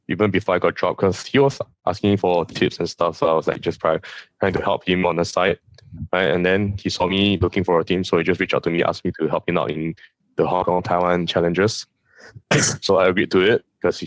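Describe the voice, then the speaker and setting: A man's voice: low voice, dry recording, poor audio. A man whose first language is Chinese, an esports coach from Southeast Asia, talking casually in an interview and often repeating words as he recounts things. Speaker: esports coach, podcast guest.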